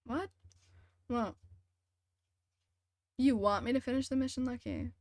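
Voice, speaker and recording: A woman's voice: fairly high pitch, a little slow, darkish tone, dry recording, clean studio sound. A woman chatting casually on a live game stream, making short, informal, reactive remarks. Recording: music behind the voice.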